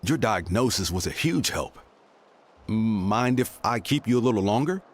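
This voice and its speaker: A man voices a calm game character, a considerate leader with a lowish voice. He speaks politely and reassuringly with lively inflection, over faint background noise. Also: normal speed, close to an everyday voice. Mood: measured, grateful. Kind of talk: video game voice acting.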